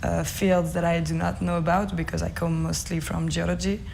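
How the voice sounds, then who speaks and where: Gravelly, flat-voiced woman over music. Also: on the low side, a little hiss or hum. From France. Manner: thoughtful, with pauses and fillers. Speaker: program participant, PhD student. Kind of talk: video testimonial.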